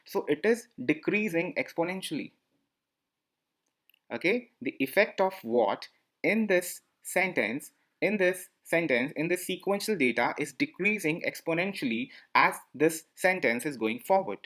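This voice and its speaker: A man's voice: fairly high pitch, animated, measured pace, a bit bright. A man explaining a lesson over slides, often repeating himself and asking the listener questions. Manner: repetitive, asks listeners questions. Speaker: teacher. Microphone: voice-over on slide screencast.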